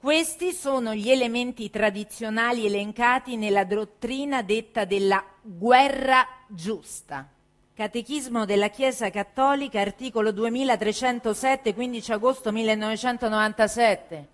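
A woman stage reader giving a formal, solemn reading of a document, deliberately, phrase by phrase. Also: on the high side.

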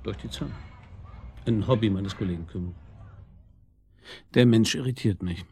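An actor playing a wry, probing police detective in a radio drama, with a husky voice and a dry, colloquial manner. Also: male voice, fairly low pitch, moderate pace, darkish tone, a little hiss or hum. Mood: puzzled. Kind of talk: naturalistic German radio drama acting.

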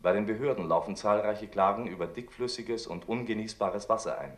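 Male actor as radio newsreader, echoing sound, low pitch, measured, official, impersonal. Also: lightly acted. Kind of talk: news bulletin in radio drama.

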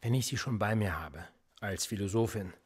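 An actor playing a sardonic, quietly menacing police interrogator in a radio play, speaking in a sarcastic, probing way. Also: male voice, fairly low pitch, normal speed, breathy edge. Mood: teasing. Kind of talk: German radio play acting.

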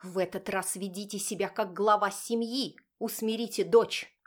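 A woman's voice: medium pitch, steady tone, moderate pace, close to an everyday voice. Woman acting a haughty, contemptuous rich mother. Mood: indignant, cutting. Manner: cutting, imperious, speaks through clenched teeth. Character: haughty, contemptuous wealthy mother guarding her son.

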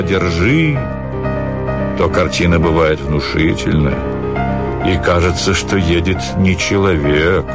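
A man reading a literary story theatrically, with expressive, measured phrasing and dramatic pauses. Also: low-pitched. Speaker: actor reading a short story. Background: music.

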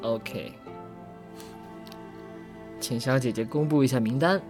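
A man's voice: medium pitch, slow, darkish tone. A man co-hosting a livestream in a playful, bantering, giggly casual chat. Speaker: voice actor co-hosting a fan livestream. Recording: background music.

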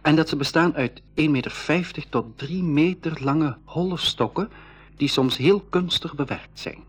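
A man's voice: fairly high pitch, moderate pace, husky. A man playing an earnest young adventurer recounting his journey in a school radio play. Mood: earnest, reflective. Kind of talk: scripted first-person storytelling in school radio play.

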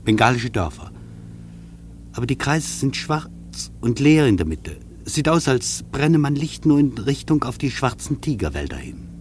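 An actor playing an observant air traveller in a German radio play, giving his impressions in measured, reflective phrases. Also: mid-range voice. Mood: pensive, watchful. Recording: steady engine-like hum in the background.

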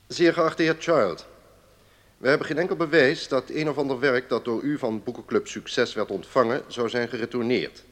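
An actor plays a pompous male lawyer in a radio drama. He reads a formal letter sternly, in a medium-pitched voice. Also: moderate pace, close to an everyday voice. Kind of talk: radio play, formal letter read aloud.

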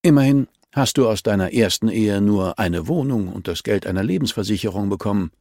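A man voicing a cool, relentless policewoman in a crime audiobook, calm and insistent as she accuses a suspect. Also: on the low side, a little fast, no echo, studio quality. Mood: cold.

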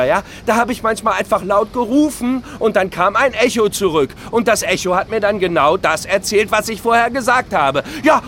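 High-voiced, aggrieved middle-aged man. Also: a bit bright, slight background noise. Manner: agitated, stammering, self-pitying, rhetorical questioning. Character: aggrieved middle-aged man whining over lost privileges. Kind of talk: theatrical stage monologue.

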